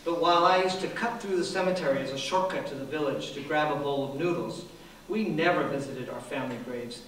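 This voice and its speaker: A man's voice: on the high side, a little fast, a little hiss or hum. Middle-aged man reading in a big echoey hall. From Japan. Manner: dry, self-deprecating reading with soft laughs. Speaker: author reading from his book. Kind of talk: reading aloud from a memoir. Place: large public hall. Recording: very echoey hall from far off, faint ambience.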